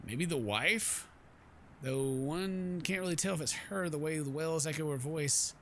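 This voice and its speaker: A man's voice: fairly high pitch, measured pace, fairly bright tone, slight background noise. A man streaming a game, reading narration aloud and breaking into playful, casual asides. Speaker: gaming streamer. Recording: game music behind the voice.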